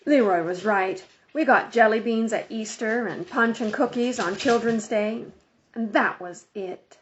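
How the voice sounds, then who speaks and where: A woman's voice: fairly high pitch, a little slow, lo-fi sound, slight background noise. A woman reading a children's story aloud as scripted audiobook narration. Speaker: audiobook reader.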